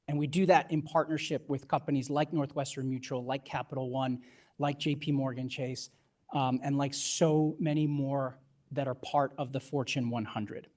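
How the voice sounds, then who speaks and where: A man giving an earnest, deliberate welcome address as the host of a live event. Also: a little high, a little mellow. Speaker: event host introducing the board chair.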